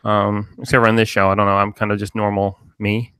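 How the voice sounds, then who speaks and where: A man's voice: fairly low pitch, flat delivery, quick pace, fairly bright tone, clear, resonant tone, no echo. A man from New Jersey hosting a podcast, rambling in loose casual chat with lots of fillers and swearing. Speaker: podcast host.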